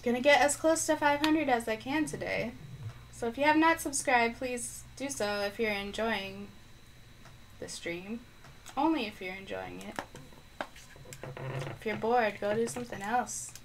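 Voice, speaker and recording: A woman's voice: a little high, a little slow, pauses often, a little hiss or hum, poor audio. A woman streaming a video game live, chatting casually as she thinks aloud and responds to viewers, with her microphone placed on the floor. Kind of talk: live gameplay stream commentary.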